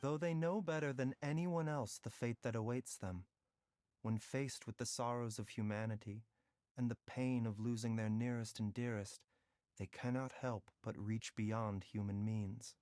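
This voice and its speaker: A man playing a gentle, philosophical young doctor in a video game, with measured, articulate and reflective delivery. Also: medium pitch, steady tone, no echo, close to an everyday voice. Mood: solemn, reflective. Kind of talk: scripted video game voice acting. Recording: music playing behind the voice.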